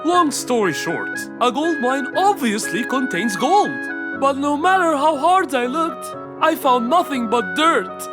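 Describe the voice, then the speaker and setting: A fussy, hurried business horse played by a woman from a kids' cartoon. The pitch is very high and jumps around in an insistent, impatient way. Music runs clearly behind the voice. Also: sing-song, exaggerated voice. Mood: frustrated. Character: busy, impatient male business horse, always rushing. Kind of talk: children's cartoon character voice.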